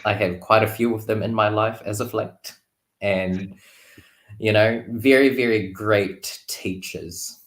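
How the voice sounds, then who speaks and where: Low voiced guy chatting about tarot cards, expressive, kinda playful, roomy echo on the call. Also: measured pace. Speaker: tarot reader, guest. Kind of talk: casual, reflective chat. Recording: roomy, echoey sound.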